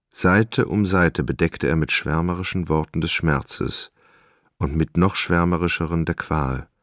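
A man giving measured, expressive literary narration in a radio drama. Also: deep voice, dry recording, clean studio sound.

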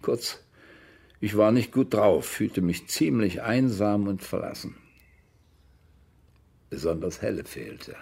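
Low, gravelly, defensive older man. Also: animated, takes it slowly, pauses often, mellow, full character voice. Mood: defensive, reflective. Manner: evasive, dismissive, matter-of-fact. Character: defensive, self-pitying older man, former television assistant director. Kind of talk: German radio crime drama acting, interview monologue.

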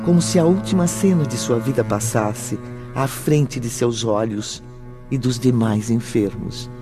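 A man's voice: medium pitch, unhurried, lightly acted. A man acting as a solemn storyteller, giving dramatic scripted narration for a radio drama. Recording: loud orchestral music behind the voice.

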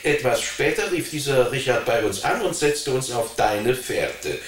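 A man's voice: medium pitch, expressive, a little slow, reverberant, far from the mic, natural voice. An actor playing a taunting male police investigator, smug and needling, in a radio drama interrogation. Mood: dry, probing. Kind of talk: German radio drama acting.

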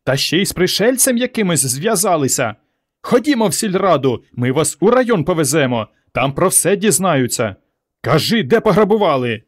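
A man acts out an indignant village mother from a storybook. The voice climbs high and swings widely as she scolds, shouts and wails. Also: sing-song, brisk, few pauses. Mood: angry, threatening.

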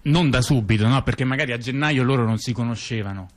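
A man's voice: medium pitch, fast. A man spins a rambling tale for a radio theater piece. His talk is chatty and digressive, and he keeps breaking off for asides and fillers. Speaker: theater performer. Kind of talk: theatrical storytelling dialogue on radio.

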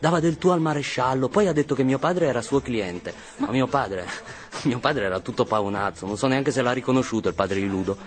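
A man's voice: mid-range voice, lively intonation, brisk, natural voice. An actor playing an agitated, defensive young Italian man in theatrical radio drama, insistent and repetitive. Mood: agitated, recounting. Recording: music playing behind the voice.

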